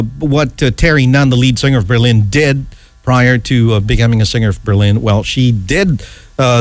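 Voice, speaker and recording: A middle-aged man hosting a radio chart countdown in a casual, ad-libbed way, rambling and halting, often checking in with an "okay". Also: medium pitch, no echo. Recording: music playing behind close, dry voice. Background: music.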